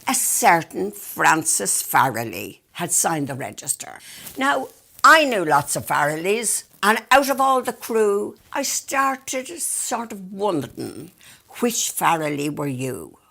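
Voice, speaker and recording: An Irish woman giving a dramatic recitation of narrative verse, telling it like a story with deliberate pauses and emphasis. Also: fairly low pitch, very animated, creaky, pushed and bright. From Ireland. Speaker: monologue reciter.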